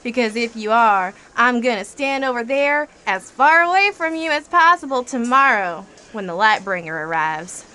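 An actress playing a flippant, zealous asylum inmate in an audio drama, chatty, sassy and theatrical, with slangy sarcasm. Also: female voice, fairly high pitch, lively intonation, moderate pace, few pauses, bright, forceful tone, smooth, rough recording. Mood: mocking.

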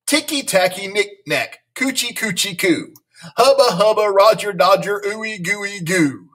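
A man's voice: a little high, animated, normal speed, fairly bright tone, breathy edge, distant mic. A man voicing an earnest, chatty little schoolgirl in a storybook, rambling in rhyming baby-talk words. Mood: blurting.

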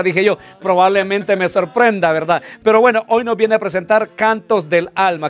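A man in his forties hosting a studio interview on handheld mic, upbeat and promotional. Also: sits high. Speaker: TV host. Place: small TV studio, indoors.

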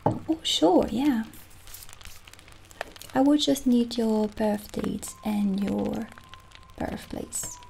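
Woman acting as gentle witch shopkeeper, ASMR roleplay, mellow, creaky, soothing, soft-spoken. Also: fairly high pitch, a little hiss or hum, exaggerated voice, low-quality recording. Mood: calm, helpful. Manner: soft-spoken, soothing, unhurried, trailing into breathy near-whispers. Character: gentle, kindly witch shopkeeper chatting with customers. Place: small indoor candlelit set.

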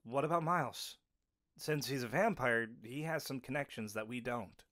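A man's voice: medium pitch, moderate pace, a bit bright, natural voice. An actor playing a practical, level-headed male werewolf in an audio drama, reasoning things through steadily. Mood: analytical.

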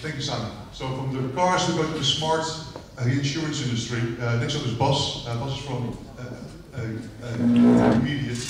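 A man hosting the stage and introducing the next speaker, halting with many uhs. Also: medium pitch, fairly even intonation, creaky. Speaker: event host introducing speakers. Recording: echoey hall, distant and noisy.

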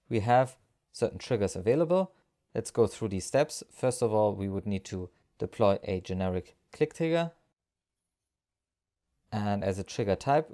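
A man narrating a step-by-step software screencast tutorial as an instructor, explaining each action as he goes. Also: lowish voice.

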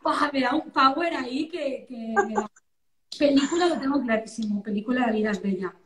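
A woman's voice: sits high, fairly quick, a crowd in the background. A woman in her thirties with an accent from Spain, chatting casually and exclaiming often as an interview guest on a phone video call from home. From Valencia, Spain. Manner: animated, exclamatory. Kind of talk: casual chat. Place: living-room sofa at home, indoors. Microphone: phone at arm's length, video call. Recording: roomy echo over phone live stream.

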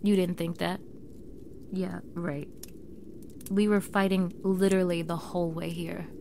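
A young woman acting an awkward, defensive mean girl in an intimate audio roleplay. She speaks slowly and softly, halting and flustered, with a gravelly fry in her voice. A clear bed of ambient noise runs behind her. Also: lowish voice, flat delivery. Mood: doubtful, reflective. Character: standoffish, awkward, defensive mean girl softening while snowbound.

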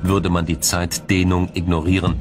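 A man reading scripted documentary narration in a measured, explanatory manner. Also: sits low, little pitch movement, music in the background.

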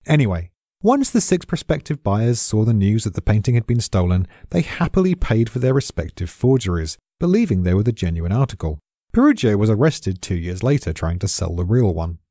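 A man with a British accent narrates in a low, mellow voice that swings expressively in pitch. He sounds like a wry, well-read storyteller explaining from a script. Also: sing-song, a little fast, no room sound, clean studio sound. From Britain. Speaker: expert narrator.

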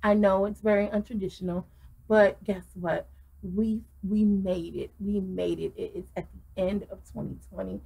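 A middle-aged woman gushes through a casual wine review, her voice carrying a gravelly fry. She talks with lots of ums and restarts, recorded on a webcam in a small wooden room. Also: mid-range voice, steady tone, moderate pace, mellow, poor audio. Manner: effusive, many ums and restarts.

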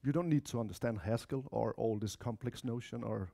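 Mellow Danish-accented man giving a talk. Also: medium pitch, fairly quick, gravelly. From Denmark or Spain, of mixed Spanish-Danish family. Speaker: conference speaker, software developer. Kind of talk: informal technical talk with slides. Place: lecture room, indoors.

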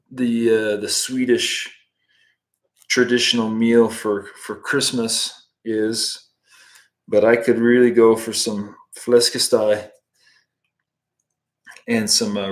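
A chatty man in his thirties talks his way through a fly-tying livestream from a room at home. His voice sits a little on the low side, and he stays easygoing, breaking off his steps to greet and answer viewers. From United States. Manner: relaxed, chatty, answers viewer comments while working. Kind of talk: casual live tutorial with chat.

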